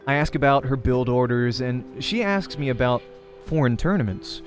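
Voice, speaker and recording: A man's voice: mid-range voice, normal speed. A man reading a visual novel aloud as the host of a let's play, narrating in character voice and prose. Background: music.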